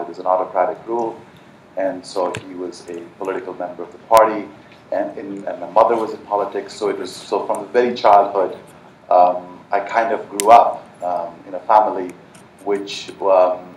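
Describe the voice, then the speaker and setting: A man's voice: low-pitched, a little hiss or hum. A man from Nepal speaking earnestly on a conference panel, with many fillers and restarts. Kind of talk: panel talk. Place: large conference hall. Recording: echoey hall from far off.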